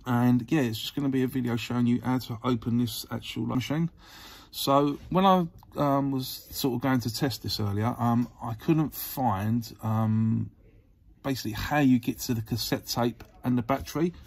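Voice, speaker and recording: A man's voice: medium pitch, breathy edge. A man giving a casual how-to demonstration as a channel host, rambling and hesitant, often repeating himself. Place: indoors at a workbench.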